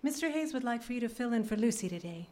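Casting: actress for a wry, pragmatic office manager, dark relaxed timbre, deadpan delivery, live radio play. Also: female voice, on the high side, quick pace, close to an everyday voice. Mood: stern warning. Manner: crisp, instructive, deadpan. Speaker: actor. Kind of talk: live radio drama acting.

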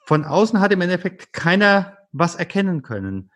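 Lively, gently probing male interviewer. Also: sits high, moderate pace. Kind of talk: interview questions.

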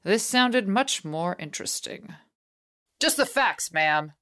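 A woman's voice: mid-range voice, expressive, slow pace, bright, forceful tone. Hard-boiled female detective: dry, skeptical, mid-range voice, radio-play noir narration and dialogue. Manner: dry, sardonic. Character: wry, hard-boiled female private eye narrating her case.

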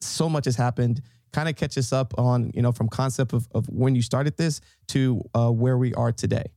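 Flat-toned mellow male host. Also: medium pitch, normal speed, no echo, studio quality. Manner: upbeat, chatty, rambling questions. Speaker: interview host. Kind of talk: casual interview hosting.

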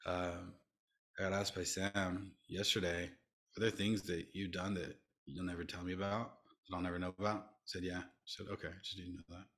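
A man's voice: low-pitched, level tone, moderate pace, fairly bright tone. A middle-aged man speaking earnestly as a podcast guest, often restarting and repeating himself. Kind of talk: reflective interview talk.

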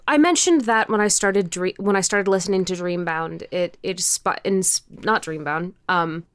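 A woman's voice: fairly low pitch, normal speed, clear, resonant tone, dry recording, clean studio sound. A woman speaking as a podcast guest in a casual interview chat, candid and informal, swearing freely. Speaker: podcast guest, audio drama creator.

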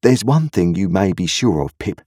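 A man's voice: deep voice, sing-song, moderate pace, darkish tone, slightly rough, dry recording, clean studio sound, full character voice. A man voicing a kindly, uneducated country blacksmith who speaks in an earnest, ruminative, ungrammatical rustic way. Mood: reassuring, reflective.

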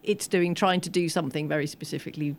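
A woman's voice: low voice, fast talker, a little hiss or hum. Woman, enthusiastic film expert. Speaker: expert guest, film historian. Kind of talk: post-screening panel discussion.

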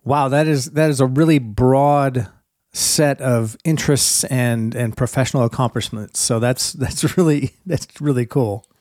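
A man's voice: medium pitch, measured pace, a little mellow, dry recording, clean studio sound. A middle-aged man hosting a business podcast, upbeat and encouraging as he welcomes and questions his guest. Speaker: podcast host.

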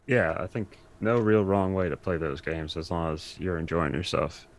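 A man's voice: low-pitched, steady tone, moderate pace. A man talking as a podcast guest in a casual, conversational style. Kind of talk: casual chat about anime and games.

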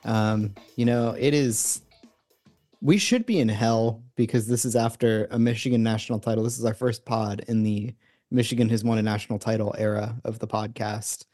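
A man's voice: fairly low pitch, steady tone, normal speed, dark tone, faint music behind. Male podcast co-host, casual and rambling, frequent ums and restarts, easygoing chat delivery. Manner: loose, rambling, many fillers and restarts. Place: remote recording, separate from co-host.

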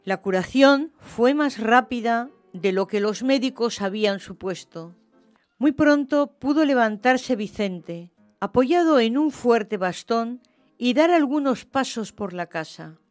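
A woman narrating a literary radio play in an expressive storytelling style. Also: fairly high pitch, unhurried, no room sound. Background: music.

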